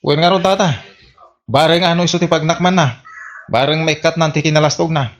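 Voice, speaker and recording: A man's voice: a little high, fairly even intonation, a little fast, a bit bright, lightly acted. A man with an Ilocano Filipino accent playing a smug, gossipy village prankster in a comedy radio drama.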